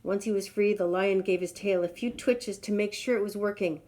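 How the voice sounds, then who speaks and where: A woman's voice: medium pitch, steady tone, moderate pace, a little mellow. A woman reading a children's storybook aloud expressively. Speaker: storybook reader.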